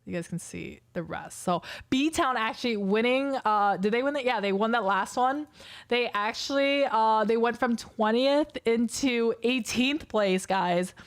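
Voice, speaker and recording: A young woman casting an esports livestream solo, hoarse from losing her voice, chatty and rambling as she reads out scores and viewer chat. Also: fairly high pitch, unhurried, no echo. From Wisconsin. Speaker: esports caster.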